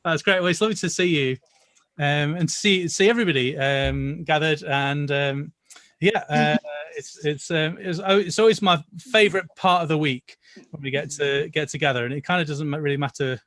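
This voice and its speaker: A man's voice: a little high, fairly even intonation, moderate pace, fairly bright tone, dry recording. Chatty man leading an online choir. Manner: chatty, hesitant with many fillers. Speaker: choir leader. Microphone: video call.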